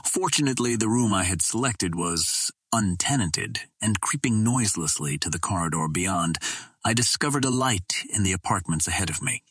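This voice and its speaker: A man narrating a pulp adventure novel in a measured, dramatic storytelling manner. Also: lowish voice, fairly bright tone, breathy edge, no echo. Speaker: audiobook narrator.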